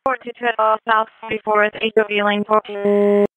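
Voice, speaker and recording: A woman's voice: medium pitch, level tone, normal speed, narrow, tinny audio. Woman police dispatcher over noisy scanner radio. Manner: clipped, procedural phrasing.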